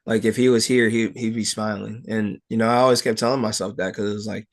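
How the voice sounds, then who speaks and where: Young guy athlete in an interview, lowish voice, casual n halting, lots of filler words. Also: fairly even intonation. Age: young adult. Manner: halting, frequent "like" and "you know". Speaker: wrestler being interviewed. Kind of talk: casual, personal interview answers.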